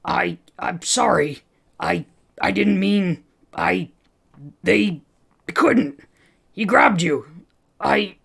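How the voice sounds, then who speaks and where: A woman's voice: on the low side, huge pitch swings, slow, creaky, pauses often, breathy edge, full character voice. A woman voicing a small frightened male skeleton creature, stammering and babbling on the verge of tears. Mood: panicked.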